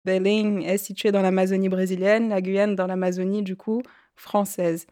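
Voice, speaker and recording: A woman around thirty, raised between French Guiana and northern Brazil, with Portuguese as her mother tongue. She speaks as an interview guest, telling her own story in a conversational way at a medium pitch. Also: flat delivery, normal speed, mellow.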